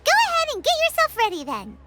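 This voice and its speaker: Squeaky, perky little fairy. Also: female voice, wildly swooping pitch, quick pace, very noisy, full character voice. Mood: upbeat. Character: tiny chatty childlike fairy companion, friendly and upbeat. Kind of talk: video game voice acting. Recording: prominent background music.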